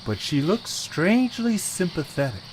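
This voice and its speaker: A man's voice: a little high, sing-song, moderate pace, a little hiss or hum, poor audio. A man reading scripted narration for an audio story as its narrator.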